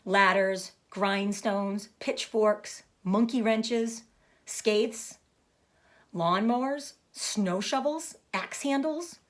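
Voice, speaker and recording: A woman reading a children's book aloud as a storyteller, with deliberate phrasing meant for young listeners. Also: a little high. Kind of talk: expressive children's book narration.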